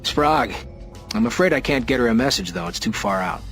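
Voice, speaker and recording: An actor playing a polite, chatty shuttle operator in a video game cutscene, courteous and a little apologetic. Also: male voice, medium pitch, very animated, a little fast, a bit bright, soft background music.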